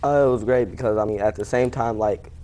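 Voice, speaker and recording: A man's voice: mid-range voice, steady tone, a little fast, dark tone. A young man giving casual interview answers as an athlete, plain-spoken and informal. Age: young adult. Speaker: wrestler being interviewed.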